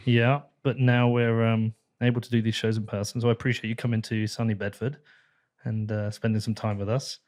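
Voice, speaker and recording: A man's voice: on the low side, little pitch movement, fairly quick, darkish tone. A man hosting a podcast interview, chatty and self-deprecating. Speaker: podcast host. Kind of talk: casual interview talk.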